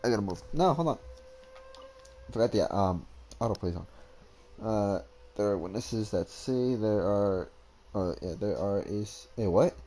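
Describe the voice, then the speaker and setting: A man giving casual live gameplay commentary as a streamer, halting and slangy in his delivery. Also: on the low side, lively intonation, warm tone. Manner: halting, slangy, muttering while reading. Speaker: video game streamer.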